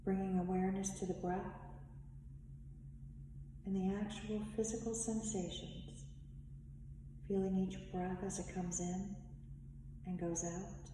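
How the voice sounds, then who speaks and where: A woman leading a guided meditation as a teacher, speaking in measured, instructive short phrases with long pauses. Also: mid-range voice, flat delivery. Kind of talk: slow guided meditation narration. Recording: echoey reverberant room, distant mic, faint noise.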